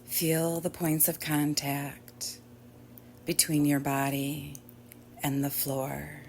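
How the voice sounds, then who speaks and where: A woman teaching yoga nidra, guiding relaxation in a gentle, soothing way with long pauses. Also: low voice, level tone, a little hiss or hum. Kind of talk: guided relaxation meditation. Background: soft background music.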